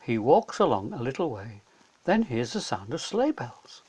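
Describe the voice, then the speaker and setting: A man's voice: medium pitch, very animated, normal speed, darkish tone, slightly rough, rough recording. A man narrating a scripted children's story as a storyteller.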